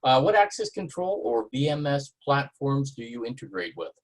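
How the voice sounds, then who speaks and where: Husky-voiced man moderating a webinar. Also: medium pitch, sing-song, moderate pace. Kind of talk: reading out audience questions.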